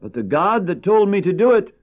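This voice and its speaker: An actor playing an earnest, fervent preacher warning of doom in a solemn, declamatory Bible radio drama. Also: male voice, high-pitched, sing-song, a little fast. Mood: resolute. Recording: muffled old cassette, distant, faint hiss.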